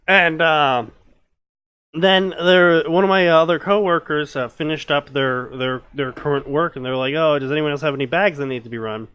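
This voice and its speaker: Young male co-host, slightly high, smooth clear voice, dry close sound, deadpan anecdotes. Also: normal speed. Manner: deadpan anecdotes, frequent fillers. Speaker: stream co-host. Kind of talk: casual chat, storytelling.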